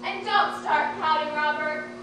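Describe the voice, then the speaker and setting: A woman's voice: high voice, steady tone, a little slow. A woman playing a talkative, fussy, exasperated housewife in a stage comedy, with a chatty, dramatic, self-important way of talking. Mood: fretful, indignant. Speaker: actor in a student one-act play. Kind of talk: live stage comedy acting. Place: theatre stage, distant microphone. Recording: distant echoey stage recording, noisy.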